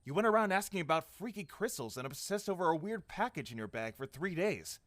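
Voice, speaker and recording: A man's voice: a little high, big pitch swings, brisk, fairly bright tone, no room sound. An actor playing a blunt, sarcastic, defensive man in an audio drama. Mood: accusing. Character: blunt, exasperated grown man, defensive young traveler.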